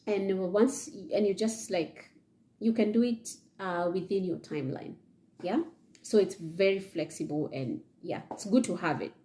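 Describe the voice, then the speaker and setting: A woman's voice: medium pitch, unhurried, dark tone. A young Kenyan woman with a Kenyan accent talking to camera indoors, giving chatty advice and often checking in with "yeah?" and "okay?". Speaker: vlogger. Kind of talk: casual advice talk to camera. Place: indoor room, seated in front of leather chair.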